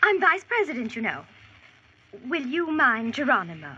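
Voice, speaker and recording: An actress playing a busy, efficient, earnest wife in a 1940s radio drama, with a proper, brisk manner. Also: female voice, high voice, very animated, bright, forceful tone, husky, heavily acted. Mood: businesslike. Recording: muffled noisy vintage radio.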